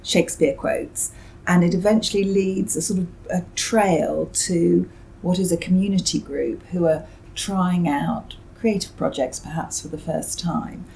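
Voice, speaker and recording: Low, creaky-voiced British woman. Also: a little slow, warm tone, a little hiss or hum, low-quality recording. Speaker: interviewed novelist. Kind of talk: reflective interview answers.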